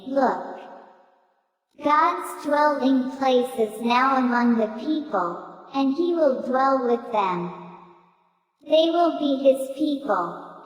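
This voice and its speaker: Female text-to-speech scripture reader, very unhurried and formal, set in long hall reverb. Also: high-pitched. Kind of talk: scripted Bible reading.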